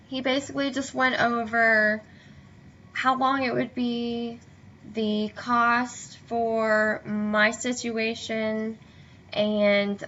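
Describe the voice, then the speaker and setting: Young woman vlogger, slow unhurried delivery, a bit hoarse, lots of ums, dull lo-fi home recording. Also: fairly high pitch, bright, forceful tone, slight background noise. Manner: hesitant, many fillers. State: slightly hoarse, tired. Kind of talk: casual chat to camera. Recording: dull lo-fi webcam audio.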